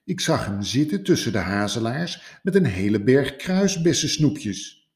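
A man's voice: mid-range voice, very animated, a little slow, darkish tone. A man voicing an anxious, fussy male rabbit father in a storybook, scolding and fretful. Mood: indignant. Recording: roomy echo.

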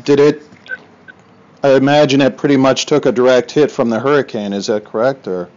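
A man hosts a casual interview in a clean, smooth, mid-pitched voice. He phrases his questions haltingly, with pauses as he goes. The audio is a little dull and lo-fi. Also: fairly even intonation, a little mellow.

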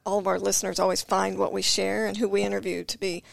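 A woman's voice: mid-range voice, flat delivery, fast talker, vocal fry, dry recording. A woman hosting a faith podcast, asking guests questions in a chatty, rambling way with frequent restarts. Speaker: podcast host. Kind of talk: casual podcast interview.

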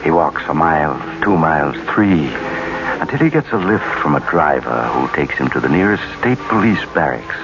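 Ominous American mystery narrator, extremely deep, measured, dramatic, on a dull vintage radio recording. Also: male voice, big pitch swings, background noise. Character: ominous male narrator of a crime thriller.

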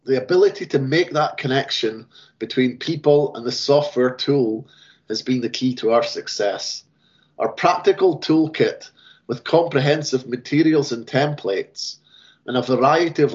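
A man delivers a measured, rehearsed sponsor pitch about his company. The recording sounds a little dull and lo-fi. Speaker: sponsor business representative. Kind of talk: scripted promotional talk.

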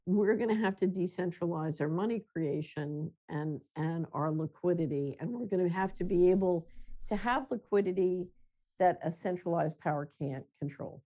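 Mellow-voiced woman explaining calmly. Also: on the low side. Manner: measured, explanatory, with restarts. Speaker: guest, runs a financial company. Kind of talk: conversational interview.

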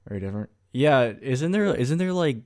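A man's voice: mid-range voice, animated, a little fast, a little mellow. A man co-hosting a podcast, chatting casually and asking curious questions, often apologizing as he cuts in. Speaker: podcast co-host. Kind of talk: casual chat.

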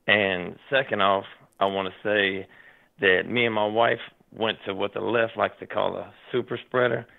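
Hoarse raspy man calling in by phone. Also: on the low side. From Tennessee. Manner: rambling, animated, many fillers like "man". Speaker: caller. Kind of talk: casual call-in chat. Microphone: phone line. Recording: tinny phone line.

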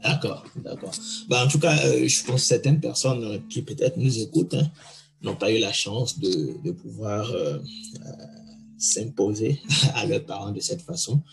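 Gravelly low-voiced male podcast host. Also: a little slow, soft background music, poor audio. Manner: probing, hesitant, with many fillers. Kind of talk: interview questions.

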